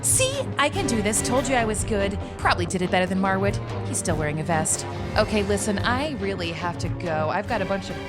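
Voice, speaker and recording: A woman's voice: medium pitch, animated, fairly quick, loud music behind the voice, lightly acted. A woman playing a brash, sassy, impatient fantasy character who reads audio drama credits with boastful, exasperated asides. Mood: grumbling, cocky.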